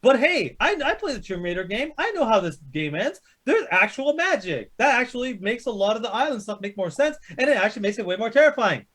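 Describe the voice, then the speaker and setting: A man's voice: very high voice, big pitch swings, fairly quick, hardly any pauses, a bit bright. A man chatting casually about movies as a panelist, blunt and opinionated, swearing heavily as he rants. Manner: heavily profane, blunt, ranting. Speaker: panelist ranking films on a chat show.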